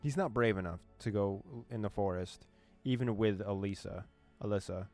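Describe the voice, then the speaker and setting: A man's voice: on the low side, normal speed, warm tone. A man hosting a let's play, commenting casually in slangy asides and reading game text aloud. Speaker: gaming channel host. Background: game music.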